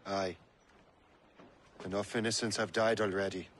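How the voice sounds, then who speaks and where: An actor playing a grim young sea captain in a video game, speaking in a terse, guarded way over music. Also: male voice, lowish voice, level tone, unhurried, a bit bright, slight background noise. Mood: resolute. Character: grim young sea captain turned against former comrades.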